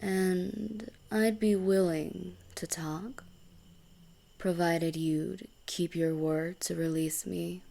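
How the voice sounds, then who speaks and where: A woman's voice: fairly low pitch, fairly even intonation, dark tone, exaggerated voice. A woman playing a smug, taunting assassin villain in a fantasy audio roleplay, mocking and coolly seductive. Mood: sly, bargaining. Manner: mocking, unhurried, breathy chuckles.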